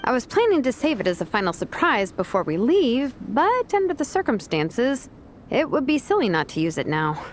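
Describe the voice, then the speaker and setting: An actress playing a laid-back, tactful but firm vice captain and teacher in a video game. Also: female voice, fairly high pitch, sing-song, moderate pace, a little hiss or hum. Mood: confident, reassuring.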